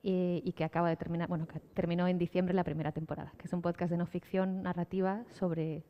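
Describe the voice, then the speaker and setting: A woman's voice: lowish voice, flat delivery, fast talker. A woman telling a personal story as a podcast creator on a panel. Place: live panel in a hall. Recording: reverberant hall, slightly dull sound.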